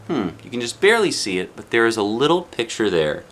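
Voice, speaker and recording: Guy reading a kids book out loud, casual asides, bright voice, lively intonation. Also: medium pitch, fairly quick. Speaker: children's book reader. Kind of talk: read-aloud with casual asides.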